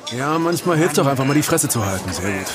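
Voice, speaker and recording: A man's voice: mid-range voice, very animated, quick pace, lightly acted. A man playing an indignant, stern diner confronting someone in a German audio drama. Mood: stern, annoyed. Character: indignant male diner defending a waitress. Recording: faint restaurant background noise.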